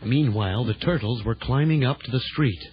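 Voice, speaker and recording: A man's voice: mid-range voice, brisk, lightly acted. Man acting as dramatic adventure storyteller. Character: male storyteller of an adventure tale. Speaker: narrator. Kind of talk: dramatic scripted narration for children's audio drama. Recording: dull lo-fi audio with music.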